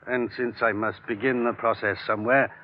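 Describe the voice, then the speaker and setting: Low-pitched male private investigator, played by an actor, suave, self-assured, formal, 1950s radio drama, dull noisy recording. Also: steady tone, moderate pace, natural voice. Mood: smooth pleading. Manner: formal, elaborately polite, pleading.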